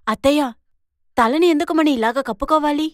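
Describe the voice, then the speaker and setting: A high, quick female voice with a dry sound, playing a meek young bride acted by a woman. She is obedient and compliant, in cartoon moral-story style. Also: no room sound, lightly acted. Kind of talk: animated moral-story voice acting.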